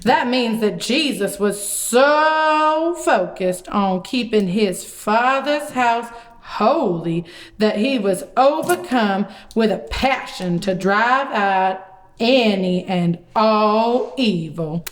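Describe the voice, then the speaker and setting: A woman voicing a folksy, excitable male prophet puppet who tells stories theatrically in a children's puppet show. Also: medium pitch. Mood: earnest explaining. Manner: folksy, theatrical, drawn-out delivery.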